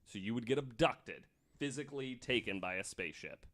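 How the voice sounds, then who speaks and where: A man hosting a podcast, explaining researched material in a casual storytelling style, with pauses between read passages. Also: mid-range voice, expressive, fairly bright tone. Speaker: podcast host.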